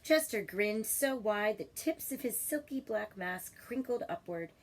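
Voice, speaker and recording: A woman teacher reading a children's story expressively and pausing to ask questions in a deliberate, instructive manner. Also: mid-range voice, lively intonation.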